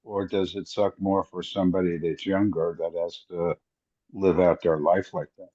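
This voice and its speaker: An older man with a low, dark voice talks in an easy, reflective way, often trailing off mid-thought. His audio is a little dull and lo-fi. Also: little pitch movement, normal speed. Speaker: podcast guest. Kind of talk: casual conversation.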